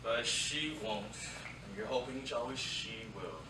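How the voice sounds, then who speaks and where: A man playing an earnest young man in a staged reading, measured and articulate. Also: medium pitch, bright, forceful tone. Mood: playful. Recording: roomy, distant theatre recording, faint noise.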